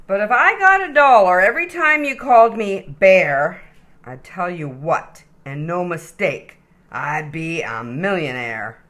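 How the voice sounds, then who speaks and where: A woman's voice: lowish voice, lively intonation, measured pace, a bit bright. A woman reading aloud as a friendly male koala in a children's picture book, telling his story in chatty, mildly indignant rhyme.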